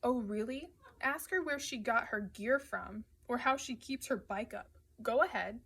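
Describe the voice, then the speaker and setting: A woman's voice: a little high, unhurried, creaky, a bit bright, slightly rough, heavily acted. A woman voicing a brash, sneering, quarrelsome adolescent boy in an audiobook story, with a taunting, argumentative manner. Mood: accusing.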